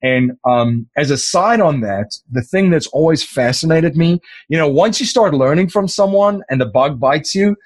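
A man's voice: fairly high pitch, expressive, normal speed, few pauses, dry recording. A middle-aged man, an Afrikaans speaker, talking casually in an interview, rambling with many fillers and restarts. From South Africa. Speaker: podcast host as interview guest.

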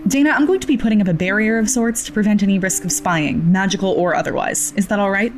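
A woman's voice: mid-range voice, fast talker, soft background music, lightly acted. A woman playing a polite, composed magic user in a naturalistic audio drama.

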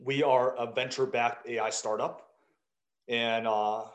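A man's voice: medium pitch, steady tone, unhurried. A man in his thirties who grew up in South Africa, a startup founder telling his story in a casual, candid way, self-deprecating and full of quoted back-and-forth. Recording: roomy echo.

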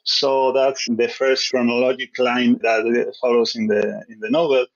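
A man's voice: mid-range voice, little pitch movement, normal speed, gravelly, highs cut off. A man from Spain with a Spanish accent, a guest author answering interview questions over a remote call, hesitant and full of fillers as he searches for English words.

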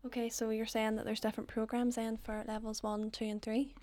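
A woman's voice: a little high, little pitch movement, a little fast, mellow. A woman interviewer asking brief, polite questions.

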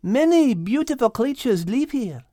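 An elegant, clever French cat for a children's audiobook. The voice is very high and lilting, with a French accent. She explains things precisely, with eager delight, in a close dry recording. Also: big pitch swings, measured pace, warm tone, no room sound. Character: elegant female French cat, clever, botany-loving teacher.

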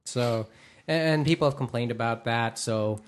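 A man hosting a podcast in casual chat, with a halting, rambling delivery full of false starts. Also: medium pitch. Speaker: podcast host. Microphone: microphone through a mixer.

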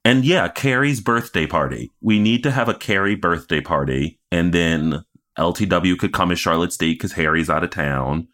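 A man with a low-pitched voice co-hosts a chatty podcast. He talks in a casual, animated, conversational way, bantering about television. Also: moderate pace. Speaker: podcast co-host.

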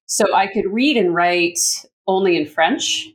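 A middle-aged woman from Canada with a North American accent, telling her story in an animated, chatty way as a coach and educator being interviewed. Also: on the low side, measured pace, rough recording. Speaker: communications coach, consultant and educator, interview guest. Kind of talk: casual conversational interview answers.

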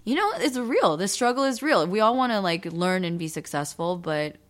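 A young adult woman talking animatedly and emphatically in a casual conversation as a podcast co-host. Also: on the low side. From United States. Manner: animated, emphatic, quick bursts of speech.

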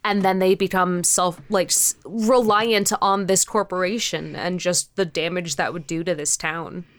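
A young woman talking casually as an interview guest, in an animated, halting, sweary and informal manner. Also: lowish voice, dry recording. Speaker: podcast creator, interview guest.

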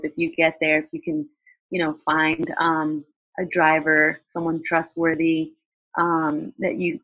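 A woman with a low, slightly husky voice answers questions in a loose, chatty way, full of fillers and restarts. The sound is dry and a little dull, like a lo-fi recording. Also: a bit bright, no echo. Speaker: guest. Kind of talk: casual interview answers.

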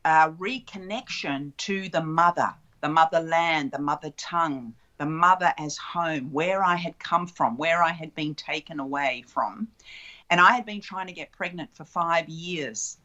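A woman in her fifties with a notably low voice speaks in an unhurried, reflective flow, a guest sharing her life story. The sound is a little dull and lo-fi. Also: sharp tone. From Australia. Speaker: guest, childbirth educator. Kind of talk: relaxed interview conversation.

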